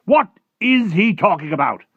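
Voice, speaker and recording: Man playing an indignant, high-pitched Air Force chief. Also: big pitch swings, moderate pace, husky, dry recording, phone-quality sound, full character voice. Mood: affronted. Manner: loud, clipped military indignation.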